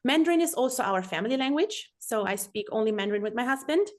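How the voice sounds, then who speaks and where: Higher-voiced woman with a German accent. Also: expressive, fast. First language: German and Transylvanian Saxon dialect. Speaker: podcast guest. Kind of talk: casual personal storytelling interview.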